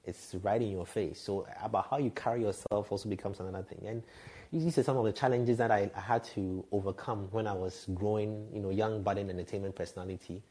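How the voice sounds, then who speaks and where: Mellow-voiced man, frank storyteller. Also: lowish voice, fairly even intonation, quick pace, husky. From Ghana. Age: 30s. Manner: reflective, frank, laughs lightly at himself. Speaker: podcast guest, radio and TV presenter. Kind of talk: candid conversational storytelling.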